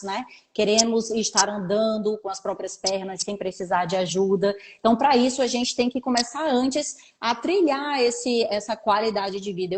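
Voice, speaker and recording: Woman in her forties, gynecologist guest, explains with frequent tag questions; fairly high voice. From São Paulo state, Brazil. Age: 40s. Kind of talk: informative live interview talk.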